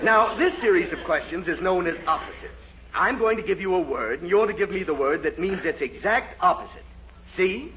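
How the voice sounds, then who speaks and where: An actor playing a sarcastic, exasperated college professor in a fussy, theatrical 1940s radio comedy style. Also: male voice, high-pitched, lively intonation, fairly quick. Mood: strained patience. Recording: dull, noisy 1940s radio recording, audience.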